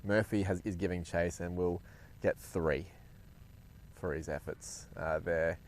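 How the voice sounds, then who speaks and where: A man commentating a cricket match live, chatty and quick to laugh. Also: sits low, steady tone, a little hiss or hum. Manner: chatty, laughs easily, many restarts.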